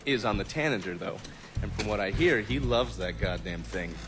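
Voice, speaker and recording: An actor playing a world-weary, cynical intelligence agent, sardonic and profane, in a video game cutscene. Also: male voice, on the low side, expressive, moderate pace, music in the background. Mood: dry, sardonic.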